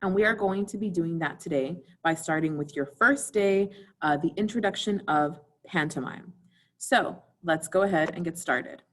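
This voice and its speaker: A woman's voice: lowish voice, normal speed. A woman theater teacher giving an informal recorded video lesson, instructing students directly and putting questions to them.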